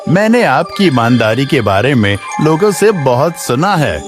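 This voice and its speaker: A man's voice: mid-range voice, very animated, a little slow, over music. A man playing a humble, honest village labourer in a cartoon moral story, speaking politely and earnestly. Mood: worried, earnest. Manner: deferential, earnest.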